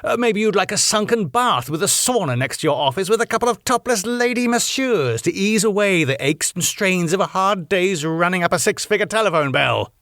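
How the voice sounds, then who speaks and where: A man's voice: high-pitched, animated, a little fast, few pauses, fairly bright tone, dry recording, studio quality, pitched above the performer's own voice. A man voicing a sarcastic, stingy male club manager who talks in a mock-polite way.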